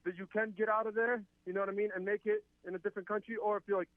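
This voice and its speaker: A man's voice: high voice, little pitch movement, racing, no echo. A man in his twenties, originally from Palestine, talking casually as a guest fighter with lots of fillers and restarts. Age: 20s. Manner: rambling, filler-heavy, upbeat. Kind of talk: casual interview over a remote call. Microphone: remote call. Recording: tinny phone-like line.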